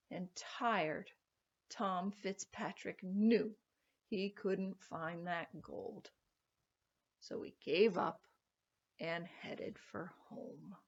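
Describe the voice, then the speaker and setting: Woman reading a storybook, measured pace. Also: mid-range voice, creaky, highs cut off. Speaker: storybook reader. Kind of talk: expressive children's story read-aloud.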